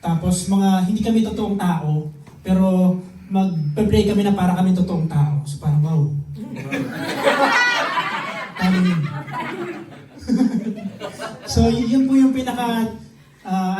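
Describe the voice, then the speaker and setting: A man, a stage actor, speaking haltingly with many fillers and nervous laughs about his roles. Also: sits high, a little mellow. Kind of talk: cast introduction. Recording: distant, echoey room with noise.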